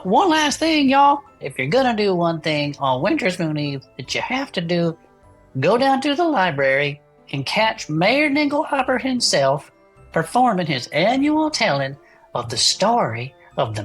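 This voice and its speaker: A man playing a folksy, good-natured small-town radio co-host with a Southern US accent and a husky, drawling, enthusiastic delivery. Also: high voice, a bit bright, exaggerated voice. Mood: excited, hearty. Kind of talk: improvised in-character audio drama chat show.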